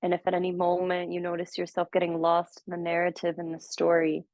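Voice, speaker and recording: Gentle low gravelly woman guiding meditation. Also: monotone, no echo. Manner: slow, gentle, soothing guidance with long pauses. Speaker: meditation teacher. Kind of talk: guided meditation. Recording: dull, muffled audio.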